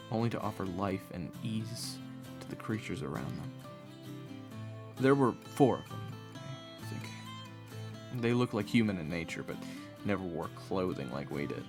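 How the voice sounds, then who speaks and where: Low-voiced, wistful male cook played by a man, gravelly and reverent, halting storyteller in an audio roleplay, music underneath. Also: lively intonation. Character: wistful, earnest male cook recalling old forest legends. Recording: music behind the voice.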